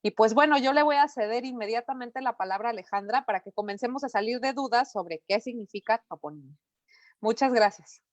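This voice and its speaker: A woman's voice: fairly high pitch, a little fast, dry recording. A woman host introducing a speaker at an online event, stumbling a little over her words. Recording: dry, dull lo-fi sound.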